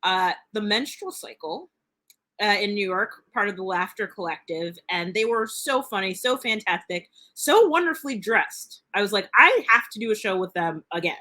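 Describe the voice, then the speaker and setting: A woman's voice: medium pitch, animated, bright, forceful tone. A woman hosting a live comedy stream, chatting casually with comedians and laughing easily. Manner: upbeat, chatty, quick to laugh. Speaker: host.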